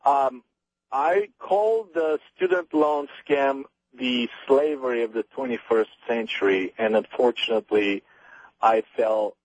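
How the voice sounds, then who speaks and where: Hesitant husky caller, Bulgarian native. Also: male voice, medium pitch, no echo, rough recording. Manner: hesitant, lots of ums, earnest. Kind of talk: phone-in call.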